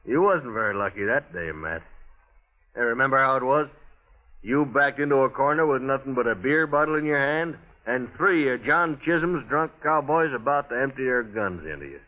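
An actor playing a boastful, easygoing gunslinger with a Western American drawl and a folksy, cocky manner, in radio western style. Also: male voice, medium pitch, lively intonation, normal speed, natural voice. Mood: cocky, amused. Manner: folksy, chuckling, cocky talk. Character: boastful, easygoing drifting gunslinger with restless menace. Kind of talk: 1950s radio western acting. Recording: tinny vintage 1950s radio recording.

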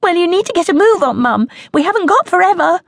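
A woman doing a shrill, pleading little girl. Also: sing-song, dry recording, studio quality, heavily acted. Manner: shrill, rapid, insistent. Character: anxious eager young girl, pleading.

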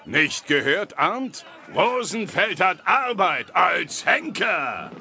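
An actor playing Störtebeker, a bold, boastful, hard-drinking medieval sailor, in an audio drama. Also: male voice, high-pitched, big pitch swings, takes it slowly, pushed and bright, exaggerated voice. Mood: teasing, swaggering. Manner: boastful, swaggering, blunt. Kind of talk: German audio drama acting. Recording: noisy tavern ambience behind.

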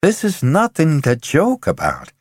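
A man voicing a loud, pushy middle-aged sales executive who rants and lets his voice climb into shouts. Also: a little high, huge pitch swings, unhurried, husky, no echo, studio quality, voice pushed up, heavily acted. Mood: ranting. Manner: forceful, ranting, voice rising to shouts.

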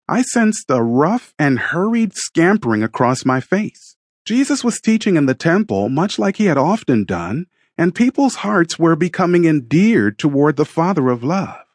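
Guy reading a dramatic story, slightly high husky voice, really expressive and deliberate. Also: no echo, clean studio sound. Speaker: audiobook narrator and author. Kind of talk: dramatic first-person scripted story narration.

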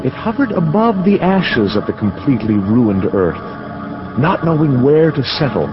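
Male narrator, mid pitch, solemn incantatory radio-drama reading, dull lo-fi audio. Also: sing-song, over music. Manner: slow, solemn, incantatory delivery.

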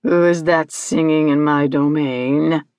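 A woman's voice: low-pitched, fairly even intonation, slow, a little mellow, no echo, pitched below the performer's own voice. A woman voicing an imperious, horrid hag who interrogates in a pompous, theatrical way in a storybook reading. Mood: imperious, demanding. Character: imperious, horrid invisible hag demanding answers, female.

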